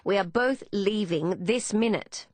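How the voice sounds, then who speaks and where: A woman's voice: mid-range voice, measured pace, no room sound, pitched above the performer's own voice, natural voice. A woman voicing a stern, protective elder sister in an audiobook, with a grim, commanding manner. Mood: indignant, firm.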